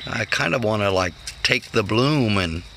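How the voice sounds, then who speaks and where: Gravelly man vlogging in his garden. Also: lowish voice, animated, a little slow, background noise. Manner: amazed, chatty, thinking aloud. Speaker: homesteader vlogger. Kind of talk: casual handheld vlog. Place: outdoors in a backyard garden. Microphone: phone camera held close.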